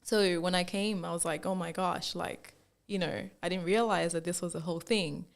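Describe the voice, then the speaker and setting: A young woman from Zambia chats freely as an interview guest, with a lowish voice that has a touch of vocal fry. She tells her story in an animated way, filling it with "like" and acting out what people said to her. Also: normal speed. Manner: animated storyteller, acts out quoted remarks, many "like"s. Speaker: fashion brand founder, guest. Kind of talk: casual interview chat.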